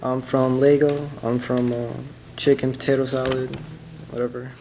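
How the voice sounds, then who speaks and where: A man's voice: medium pitch, little pitch movement, moderate pace, dark tone. A young man from Queens, a student reciting a personal spoken word poem offhandedly. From Queens, New York. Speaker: student performer. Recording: clearly noisy room.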